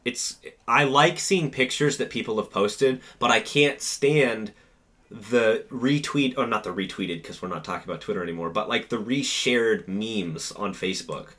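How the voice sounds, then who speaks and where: A man's voice: lowish voice, normal speed, a bit bright. A man co-hosting a podcast, chatting casually and giving emphatic opinions. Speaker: podcast co-host. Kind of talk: casual chat.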